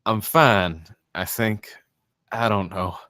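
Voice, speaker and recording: Male actor as a troubled, unraveling man, guilt-ridden, low-pitched, closely recorded drama read. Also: fairly even intonation, slow pace, bright, forceful tone, no room sound. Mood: anxious, sincere. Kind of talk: screenplay table read.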